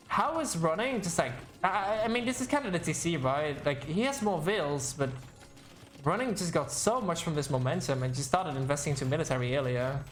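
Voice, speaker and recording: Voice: mid-range voice, roomy sound. A game caster giving casual live commentary and chatting with viewers, rambling, thinking aloud and often self-correcting.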